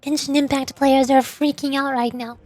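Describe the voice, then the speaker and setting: A woman's voice: high voice, level tone, moderate pace, a little mellow, soft background music. A young woman streamer reading game dialogue aloud and chatting playfully between lines.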